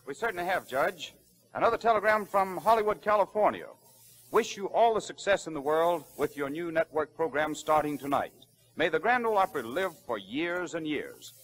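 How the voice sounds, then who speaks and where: A man's voice: a little high, lively intonation, moderate pace, a bit bright, poor audio. A man announcing on 1930s radio, reading messages aloud in a formal period style.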